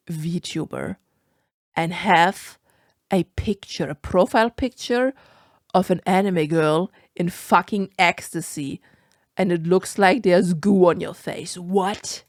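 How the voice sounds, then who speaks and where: A woman's voice: on the low side, slow, darkish tone, no echo, studio quality. A young woman with a German accent reacting to a video in casual commentary, incredulous and swearing often.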